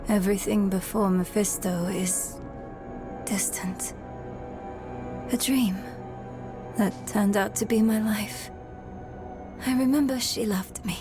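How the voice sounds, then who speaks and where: Slow-speaking, earnest young woman fantasy scholar, acted. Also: mid-range voice, steady tone, lightly acted. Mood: wistful, resolute. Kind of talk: dramatic video game acting. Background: cinematic background music.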